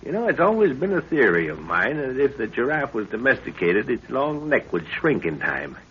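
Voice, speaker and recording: A man's voice: mid-range voice, sing-song, normal speed. An actor playing an eager, authoritative middle-aged scientist explorer and father in a 1930s radio adventure. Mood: absorbed, eager. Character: Lorna's father, excitable older scientist explorer. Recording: scratchy muffled 1930s radio recording.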